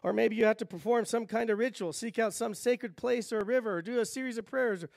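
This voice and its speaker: Middle-aged guy preaching at the pulpit, kinda high voice, dry close sound. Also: fast talker, creaky, no room sound. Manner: teaching, lively, gestures widely at a pulpit. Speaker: pastor. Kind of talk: sermon. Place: church sanctuary stage.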